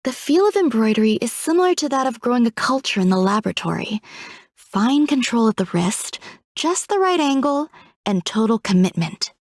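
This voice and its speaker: A woman voicing a refined, coolly detached genius scientist in a video game, speaking in a composed, measured, poetic way. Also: a little high, very animated, dry recording, studio quality. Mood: serene, reflective. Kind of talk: video game character voice lines.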